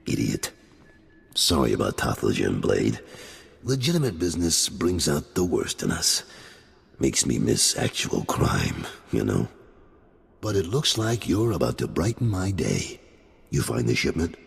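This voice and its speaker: A gravelly, deep-voiced crime boss. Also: male voice, very animated, unhurried, full character voice. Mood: wry. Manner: sardonic, world-weary. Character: gruff male crime boss turned businessman. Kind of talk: video game voice acting. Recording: reverberant game mix.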